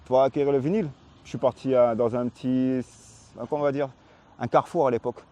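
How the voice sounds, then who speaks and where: A man's voice: medium pitch, steady tone, quick pace, mellow, muffled recording. A man in his forties from Paris, born in Réunion, chatting casually in an interview with a hesitant, repetitive, slangy delivery. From Paris, France, born in Réunion. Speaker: interviewee, hip-hop graffiti artist.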